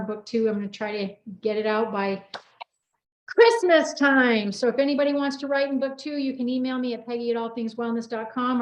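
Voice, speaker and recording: A woman's voice: on the high side, highs cut off. A woman hosting a casual interview in a chatty, informal, rambling way. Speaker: host. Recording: roomy echo, music behind voice.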